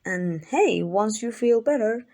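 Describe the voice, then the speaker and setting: A woman's voice: medium pitch, lively intonation, slow, dark tone. A woman playing an obsessive, doting yandere kidnapper in an ASMR roleplay, gushing and coaxing with affection. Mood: earnest, reassuring. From Mexico.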